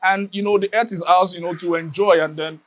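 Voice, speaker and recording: A man from Nigeria giving a reflective personal testimony, often pausing to search for words. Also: high voice, steady tone. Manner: reflective, many fillers and restarts. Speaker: interviewee.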